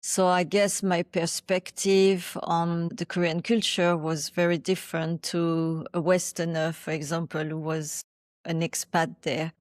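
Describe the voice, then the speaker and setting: Woman in her 30s, pauses and restarts a lot, slightly low voice, dry mic. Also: level tone, dry recording. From France. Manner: thoughtful, with frequent restarts and hesitations. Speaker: podcast guest. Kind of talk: reflective interview answers.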